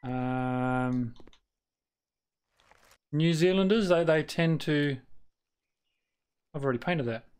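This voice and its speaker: A man's voice: a little high, a little mellow, clear, resonant tone. Rambling Australian man streaming a game. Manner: rambling and halting, many fillers and restarts, mutters at the game. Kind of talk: casual live-stream chat while playing.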